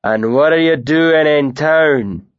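A man voicing a loud, swaggering, bearded male mercenary who bullies with a thick northern accent, drawing out his taunts. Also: fairly high pitch, no room sound, muffled recording, pitched above the performer's own voice. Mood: menacing. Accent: northern English dialect, put on. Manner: mocking, swaggering, drawn-out.

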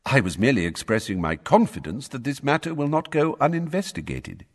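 A man voicing an old wizard who speaks mildly, politely and in measured sentences. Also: medium pitch, very animated, in a large hall, pitched above the performer's own voice. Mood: calm, courteous. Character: calm, courteous old male wizard, quietly firm.

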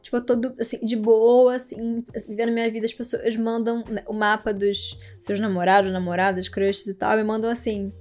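A woman's voice: fairly high pitch, little pitch movement, moderate pace, few pauses, darkish tone. A young woman with a Brazilian accent, hosting a podcast and telling astrology-themed stories in a casual, laughing, slangy way. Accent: Brazilian Portuguese. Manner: chatty, laughing, slangy asides. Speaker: podcast host.

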